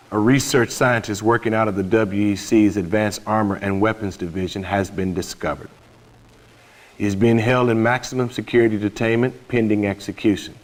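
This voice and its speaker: A man's voice: lowish voice, close to an everyday voice. A middle-aged man playing a commanding, businesslike military officer delivering a formal mission briefing in a video game.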